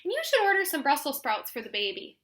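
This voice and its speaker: A fussy storybook grandmother, as read by a woman, bossy and insistent. The voice is high and bright, made for a children's picture book. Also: wildly swooping pitch, fast, heavily acted. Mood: bossy fussing. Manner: insistent, fussing.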